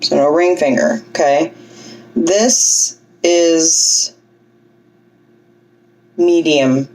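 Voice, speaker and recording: Low-voiced woman coaching breath, a little distant. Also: steady tone, drawn-out words, a little mellow. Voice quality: low singing voice. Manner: chatty, self-deprecating, coaching with frequent "okay?" checks. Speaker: vlogger teaching breathing. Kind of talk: casual instructional vlog.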